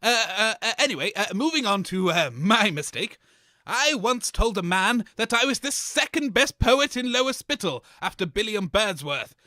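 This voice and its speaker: An actor playing a pompous, scheming and dismissive radio host in a scripted comedy, with theatrical delivery. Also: male voice, sits high, sing-song, normal speed, sharp tone, no echo, exaggerated voice. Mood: smug.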